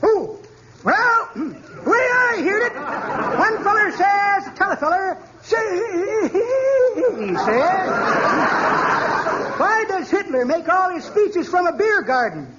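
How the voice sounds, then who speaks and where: An actor voicing a chatty, playful old-timer with folksy rustic speech, in 1940s radio comedy style. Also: male voice, very animated, slow, over a noisy crowd, heavily acted. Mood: jovial, boastful. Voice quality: high, cartoonish old man's voice. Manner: folksy drawl with rustic grammar. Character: chatty, playful, childlike old-timer man telling tall jokes. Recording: noisy, muffled 1940s radio recording.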